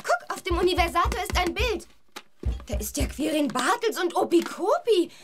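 An actress playing a bright, determined, quick-thinking young girl in a children's audio drama. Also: very high-pitched, sing-song, music in the background. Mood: eager explaining.

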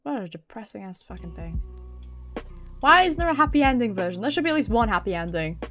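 A woman's voice: on the high side, sing-song, fast talker, a bit bright. A British woman streamer chatting casually and expressively with her viewers, swearing freely. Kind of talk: casual livestream chat. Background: background music.

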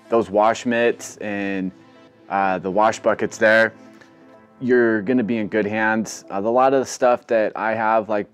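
A man's voice: lowish voice, clear, resonant tone. Easygoing man explaining car washing. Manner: relaxed, rambling, filler-heavy explaining. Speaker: car detailing vlogger. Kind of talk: casual how-to narration. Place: outdoor car wash bay.

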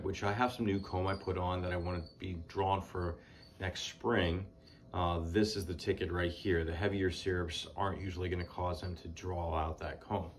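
Very low-voiced man in his forties, relaxed practical explainer, faint crickets behind. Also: slight background noise. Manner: unhurried, many "uh" pauses, plain practical tone. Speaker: beekeeper. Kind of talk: casual how-to talk. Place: indoors, small shed stacked with hive boxes.

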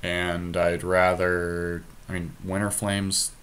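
A man's voice: low-pitched, monotone, slow, fairly bright tone, clean tone. A man casually thinking aloud as he co-hosts commentary on an online card game. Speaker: podcast co-host playing an online card game. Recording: noticeable background noise, amateur home capture.

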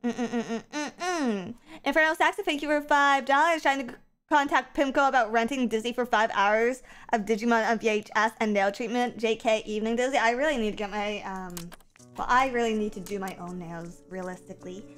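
A woman streaming a game in casual chat, a bit stuffy from a cold, going from low mumbles to loud excited yelling. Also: moderate pace, smooth. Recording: game music behind.

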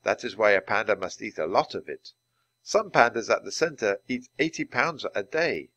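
A man voicing a serious adult panda keeper in a storybook reading, measured and deadpan. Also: on the low side, dry recording. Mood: calm.

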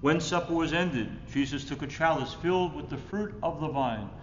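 Higher-voiced older priest in an echoey church. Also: male voice. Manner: solemn, measured phrasing. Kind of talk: liturgical prayer recitation. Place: indoors in a church, at the altar. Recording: echoey church reverb, muffled, rumbling noise.

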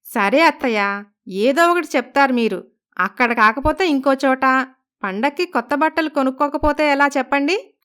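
Woman acting a high-voiced, polite young daughter-in-law. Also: moderate pace, a bit bright. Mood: coaxing. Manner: respectful, coaxing. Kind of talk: Telugu animated moral story voice acting.

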